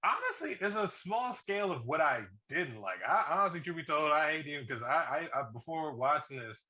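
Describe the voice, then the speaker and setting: A man co-hosting a casual review podcast, talking in an excitable, slangy way and swearing freely. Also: on the high side, brisk. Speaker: podcast co-host reviewing a film. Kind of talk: casual banter.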